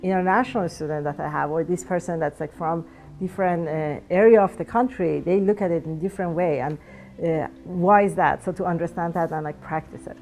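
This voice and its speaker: A woman's voice: on the low side, brisk, a little mellow, soft background music. A woman in her thirties whose first language is Farsi, answering interview questions earnestly and sometimes searching for words. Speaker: alumna guest.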